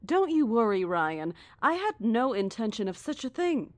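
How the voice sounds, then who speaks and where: A woman playing a serene, menacing cult leader in an audio drama, formal, measured and condescending. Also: fairly high pitch, big pitch swings, a little mellow, no room sound. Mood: mocking, calm.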